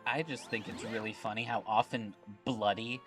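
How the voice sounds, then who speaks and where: A man's voice: fairly low pitch, fairly even intonation, normal speed, a bit bright, soft background music. A man from the American Midwest with a blended Midwestern accent, chatting casually as a co-host. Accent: mixed Midwestern US with British slang. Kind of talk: casual chat.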